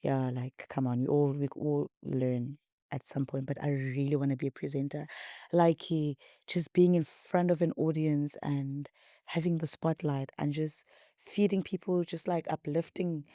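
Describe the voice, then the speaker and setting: A young woman with a South African accent and a deep voice, hosting her podcast in a rambling, repetitive, chatty way. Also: steady tone, normal speed, dark tone, no echo, clean studio sound. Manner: rambling, repetitive, chatty, says she talks a lot. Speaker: podcast host. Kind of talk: casual chat to viewers.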